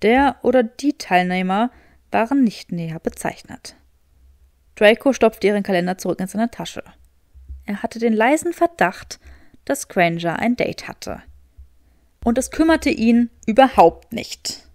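A woman's voice: on the high side, animated, measured pace, darkish tone, no echo, clean studio sound. A woman reading scripted fiction as an audiobook narrator.

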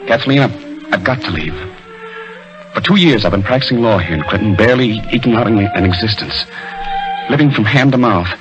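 An actor playing an earnest, lovestruck young lawyer in a 1930s radio drama, speaking haltingly with repeated words. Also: male voice, fairly low pitch, lively intonation, lo-fi sound, close to an everyday voice. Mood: troubled. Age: young adult. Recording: vintage 1930s radio broadcast with music.

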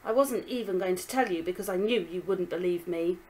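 A woman voicing an upset young girl who pleads and shouts at her father in a storybook reading. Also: very high voice, wildly swooping pitch, unhurried, voice pushed up, exaggerated voice, low-quality recording. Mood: angry.

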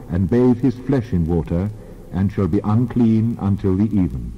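A man reading scripture aloud in a slow, solemn and measured dramatized style. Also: medium pitch, in a large hall, dull audio. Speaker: Bible reader.

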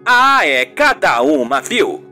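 A man's voice: on the high side, big pitch swings, slow, sharp tone, soft background music, voice pushed down, heavily acted. A man playing a defensive, exasperated young journalist son in an amateur radio soap.

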